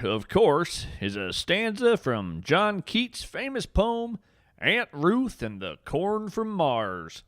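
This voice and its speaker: A man's voice: fairly high pitch, fairly bright tone, no echo, clean studio sound. A man narrating a scripted story as a podcast host, with a theatrical, poetic and solemn delivery.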